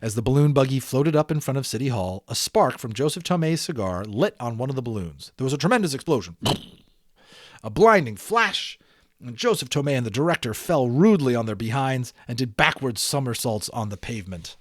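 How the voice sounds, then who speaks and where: A man's voice: mid-range voice, animated, a little fast, no room sound, studio quality. A man narrating a children's audiobook expressively, with dramatic emphasis and chatty asides to listeners.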